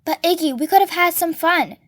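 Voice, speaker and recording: A brash, needling woman from a storybook, as a female narrator puts her on. Her voice is high, and she is slangy, gossipy and spiteful, always mocking and goading. The recording is dry. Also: brisk, a bit bright, no echo.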